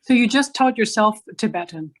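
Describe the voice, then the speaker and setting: A woman's voice: on the high side, lively intonation, moderate pace. A woman in a class, asking the teacher curious follow-up questions and reacting briefly with interest.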